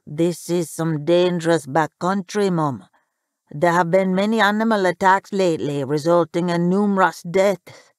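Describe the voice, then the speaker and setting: A woman's voice: on the low side, measured pace, dry recording, clean studio sound, voice pushed down, close to an everyday voice. A woman voicing a humble, nervous little male cab driver, deferential and grave, in a story. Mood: grave warning.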